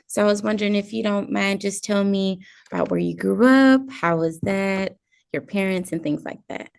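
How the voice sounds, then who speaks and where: Woman interviewer, gravelly fry in the voice, friendly casual questions. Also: mid-range voice, normal speed.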